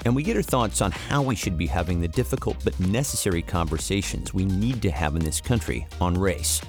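A man hosting a podcast, reading a formal introduction and asking interview questions in a measured way. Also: low-pitched, expressive, faint music behind. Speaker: podcast host.

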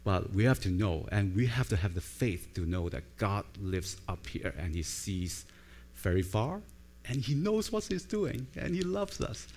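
A man's voice: lowish voice, very animated, unhurried, a little mellow, slight background noise. A middle-aged man from Hong Kong, a native Cantonese speaker with a Cantonese accent, preaching a conversational sermon as a pastor and informally checking in with his listeners. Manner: informal, with frequent check-in tags like "right?" and "okay?".

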